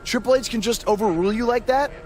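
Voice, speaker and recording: A man's voice: very high voice, quick pace, a little mellow, slight background noise. An actor playing a defiant, cheeky young male pro wrestler in a video game cutscene.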